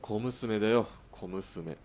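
A man playing a terse, stubborn ex-soldier hitman in a radio drama, with a blunt, deadpan delivery. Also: lowish voice, slow pace, slight background noise. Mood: grumbling.

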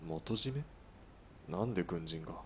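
A man playing a terse, stubborn ex-soldier hitman in a radio drama, with a blunt, deadpan delivery. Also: low voice, normal speed, husky, full character voice. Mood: flat, curt.

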